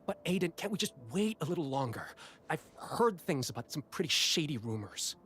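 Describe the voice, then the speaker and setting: A man's voice: fairly high pitch, big pitch swings, a little fast, fairly bright tone, faint music behind. An actor playing a hesitant, worried young male worker in a video game. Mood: uneasy. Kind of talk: anime game voice acting.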